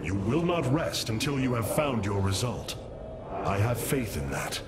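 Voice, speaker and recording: A man's voice: mid-range voice, sing-song, unhurried. A man playing a resolute, noble alien leader in a video game, speaking in a grave, formal, commanding way. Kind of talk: dramatic video game character acting. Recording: loud music behind.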